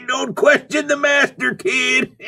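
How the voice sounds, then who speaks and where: A man's voice: very high-pitched, sharp tone, voice pushed up, exaggerated voice. A man voicing a boorish, dim-witted country coach with a Southern US accent and a rambling, blustering, folksy manner, in a comic sketch. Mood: gleeful, smug.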